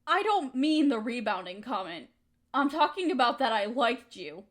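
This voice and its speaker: A woman's voice: a little high, very animated, normal speed. A woman playing a hurt, resentful young woman confronting her roommate in an emotional, accusatory audio roleplay monologue. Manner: emotional, accusatory, pointed rhetorical questions.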